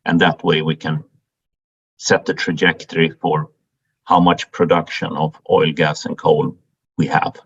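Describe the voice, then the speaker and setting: A man who grew up in Sweden, introducing himself in a measured way on an online meeting. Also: extremely low pitch, level tone, a bit bright, breathy edge. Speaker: meeting host. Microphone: video call. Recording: dull lo-fi video call audio.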